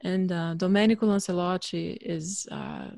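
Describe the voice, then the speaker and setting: A woman musician being interviewed over a video call, talking reflectively with frequent fillers and soft laughs. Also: fairly low pitch, steady tone, moderate pace, creaky, dry recording, studio quality. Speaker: musician guest. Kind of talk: relaxed interview chat.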